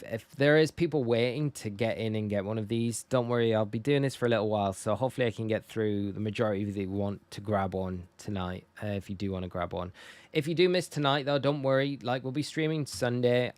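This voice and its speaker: Man, northern English accent, low pitch, dry close sound, casual gaming livestream chat, chatty and informal. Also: fairly quick, a little mellow, no echo. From northeast England. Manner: chatty, thanking and addressing viewers informally. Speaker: live streamer.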